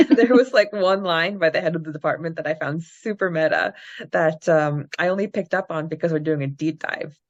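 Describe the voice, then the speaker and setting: Low-pitched young woman, slightly dull audio. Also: moderate pace. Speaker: podcast co-host. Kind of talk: reading a recap, then casual book-club chat.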